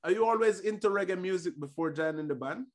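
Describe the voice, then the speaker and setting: A man's voice: high voice, fast. Chatty male podcast host on a video call. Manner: friendly, chatty, lots of "you know what I mean". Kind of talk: casual interview chat. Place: home room with a banner behind him.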